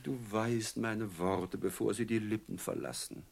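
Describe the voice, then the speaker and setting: An actor playing a mocking, falsely courteous bandit chief in a German radio play, speaking with theatrical, oily politeness. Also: male voice, on the low side, a little slow, gravelly, husky, exaggerated voice. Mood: falsely courteous, gloating.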